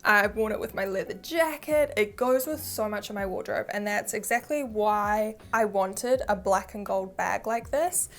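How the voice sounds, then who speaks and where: A woman's voice: mid-range voice, moderate pace, hardly any pauses. A young woman with a New Zealand accent, chatting candidly to camera as she reviews a handbag, self-deprecating and open.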